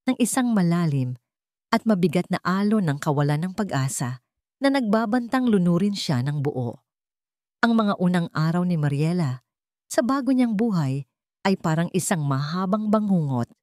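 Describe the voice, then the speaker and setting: Low-voiced woman narrating a dramatic story. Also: a little mellow, dry recording, studio quality.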